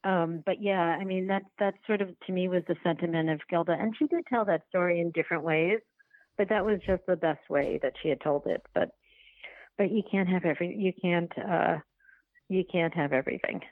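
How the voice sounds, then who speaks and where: Woman on a phone-like line, low gravelly voice, chatty interview guest who keeps restarting her sentences. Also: no echo. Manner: halting, repetitive, self-restarting storytelling. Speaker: podcast guest, documentary filmmaker. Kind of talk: casual interview talk.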